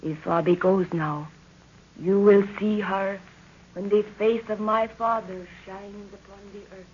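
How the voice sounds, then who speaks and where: An actress playing a solemn, mystical African sorceress in a 1930s radio drama, speaking in formal incantations. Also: female voice, medium pitch, fairly even intonation, measured pace, breathy edge. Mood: solemn, mysterious. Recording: muffled old 1930s radio recording.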